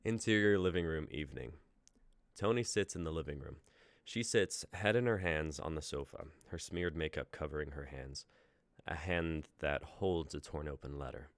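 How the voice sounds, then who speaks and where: A man's voice: rumbling low, moderate pace, no echo. A man reading out the stage directions of a script he wrote.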